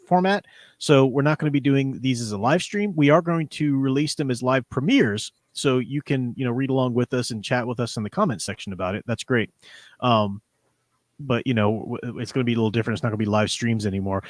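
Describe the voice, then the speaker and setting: A man with a mid-pitched voice hosts an informal book chat. He rambles easily from point to point. The sound is dry, with very little room in it. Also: no room sound. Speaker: host. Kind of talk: casual live-stream book discussion. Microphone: newly borrowed, older studio microphone.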